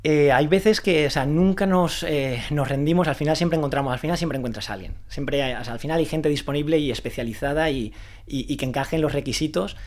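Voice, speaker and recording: Fast-talking man, guest founder. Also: on the high side, darkish tone. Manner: fast talker with many restarts and fillers. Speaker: founder of a renewable energy recruitment consultancy. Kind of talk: casual interview answers.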